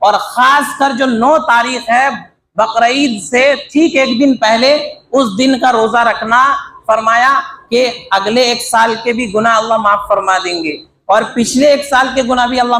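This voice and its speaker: A male preacher with an Urdu accent and a very high, bright voice speaks earnestly, stacking phrases in a steady rhythm. The sound is roomy with echo and a faint hiss of noise behind it. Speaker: Islamic preacher. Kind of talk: religious talk. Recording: echoey room with faint background noise.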